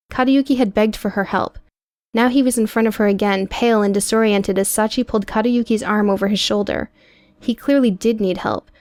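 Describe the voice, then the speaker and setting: A woman reading scripted audiobook prose in a measured, dramatic storytelling manner. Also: medium pitch, no echo. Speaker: audiobook narrator.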